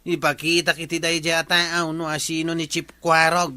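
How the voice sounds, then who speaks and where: A man's voice: a little high, level tone, normal speed, sharp tone, no room sound. A man with an Ilocano accent playing a boastful, indignant village watchman chief in a comedy drama. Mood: vengeful.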